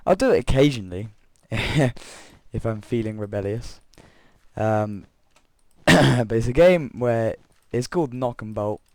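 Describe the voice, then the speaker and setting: A young man with a croaky voice from being unwell, rambling through gameplay commentary and a story, correcting himself often with chuckling asides. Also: medium pitch, slow pace, a little mellow, no echo. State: croaky voice from illness. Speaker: Minecraft let's player.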